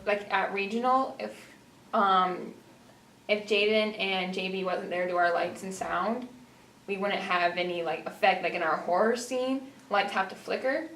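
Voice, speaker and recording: A teenage girl talks casually as a student actor being interviewed. Her pitch is middling. The room echoes strongly and the microphone is some way off, with light noise. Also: a bit bright. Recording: echoey room, distant mic, faint noise.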